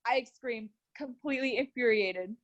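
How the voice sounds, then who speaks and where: High-voiced woman reading a story aloud. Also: moderate pace, dull audio. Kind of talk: scripted narration read aloud.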